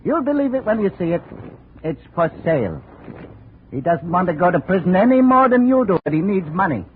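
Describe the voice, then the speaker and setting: A man's voice: high voice, big pitch swings, a little fast. An actor playing a shady, self-assured private investigator in a 1950s radio detective drama, sly and clipped as he bargains. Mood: sly persuasion. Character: shady male private investigator, coolly baiting a blackmail deal. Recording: narrow, tinny, hissy old radio recording.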